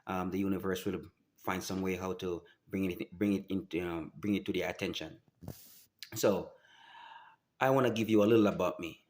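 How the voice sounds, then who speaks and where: Halting low-voiced man. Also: steady tone, a little mellow. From Jamaica. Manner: halting, many restarts and fillers, earnest. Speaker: vlogger. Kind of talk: personal testimony to camera.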